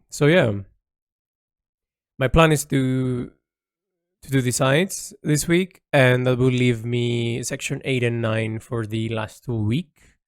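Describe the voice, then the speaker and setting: A man recording a reflective, unscripted audio log as an artist, rambling and rephrasing haltingly as he thinks aloud into a close mic. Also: medium pitch, no room sound.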